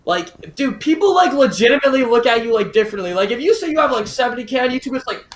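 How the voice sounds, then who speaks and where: An excited young man chatting as a guest, animated and leaning heavily on "like". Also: very high-pitched, fast. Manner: excited, animated, heavy use of "like". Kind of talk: casual interview chat. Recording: echoey room with faint noise.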